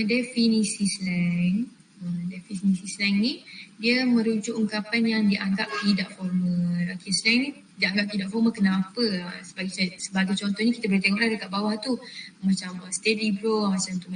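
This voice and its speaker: A woman's voice: medium pitch, fairly even intonation, normal speed, slight background noise. A young Malaysian woman giving a casual class presentation, chatty and addressing her listeners directly. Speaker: trainee presenter. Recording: echoey room, from far off.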